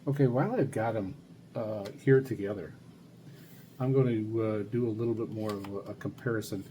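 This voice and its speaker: Man, fairly deep voice, casual demonstrator, halting unscripted delivery, noisy recording. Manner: halting, unscripted, thinking aloud. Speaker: hobbyist video host reviewing radios. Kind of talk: casual hands-on product demonstration. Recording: noisy recording with loud background noise.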